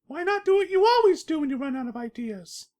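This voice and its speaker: A woman's voice: sits high, very animated, a little fast, mellow. A woman voicing a small, childlike male sidekick with a pleading manner in a cartoon fan fiction audio drama. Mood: helpful. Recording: music behind the voice.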